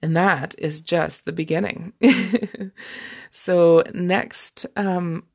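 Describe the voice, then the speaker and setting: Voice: mid-range voice, steady tone, extremely slow, gravelly. A life coach talking informally and encouragingly on a solo podcast, repeating key words for emphasis.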